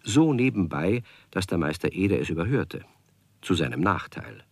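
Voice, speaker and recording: A man narrating a children's radio play as a measured, expressive, slightly wry storyteller. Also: deep voice, vocal fry, no room sound, heavily acted.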